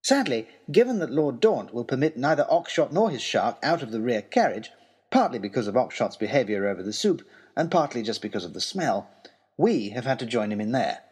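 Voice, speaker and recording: Man playing an animated, earnest gentleman adventurer. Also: mid-range voice, fairly quick, husky. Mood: put-upon, wry. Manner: expressive, earnest, wryly self-deprecating, genteel. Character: eager, long-suffering young gentleman adventurer, lovestruck letter writer. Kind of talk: dramatised letter reading, comic period audio drama.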